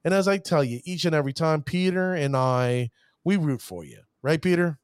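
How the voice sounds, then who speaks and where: A fairly high-voiced man runs a casual card-game show, pumping up his shout-outs with showman phrases. He stumbles over names and pushes on. The recording is dry and close with no room sound. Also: expressive, moderate pace, clean tone, no echo. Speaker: podcast host. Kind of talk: hype-filled casual show talk.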